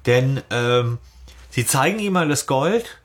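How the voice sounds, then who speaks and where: A man's voice: mid-range voice, animated, takes it slowly. A man co-hosting a podcast, casually retelling and discussing a story. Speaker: podcast host.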